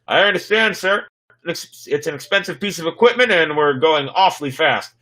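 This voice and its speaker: Man doing an eager, bright-voiced stammering crewman. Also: fairly high pitch, expressive, normal speed, voice pushed up. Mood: eager, deferential. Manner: stammering, deferential. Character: eager, nervous young male spaceship crewman.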